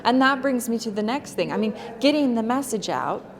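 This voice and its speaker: A woman's voice: a little high, expressive, fairly quick. A woman giving an earnest public speech, stressing her points with repeated words. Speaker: speaker at a press event. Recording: long hall reverb.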